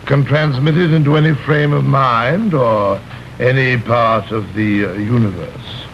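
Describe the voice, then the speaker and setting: A man's voice: mid-range voice, unhurried, a little hiss or hum, lightly acted. Man playing a smug, pompous villain, muffled vintage soundtrack. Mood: smug, self-assured. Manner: pompous, courtly, mock-polite. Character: suave, smug male villain who abducts people through dreams. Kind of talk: vintage television drama acting.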